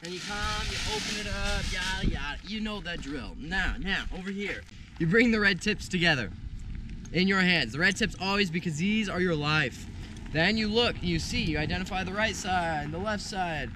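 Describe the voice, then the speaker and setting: A young man teaching paramotor hookup outdoors in an open field, energetic and punctuating steps with punchy exclamations. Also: sits high, normal speed, background noise. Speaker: paramotor instructor. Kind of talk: hands-on outdoor demonstration.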